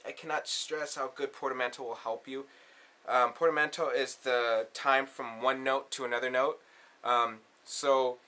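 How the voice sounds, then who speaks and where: Man, bright crisp-edged tone, medium pitch, casual how-to delivery, step-by-step, instructional. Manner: instructional, checks in often with okay. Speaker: tutorial presenter, musician. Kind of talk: casual step-by-step synth tutorial.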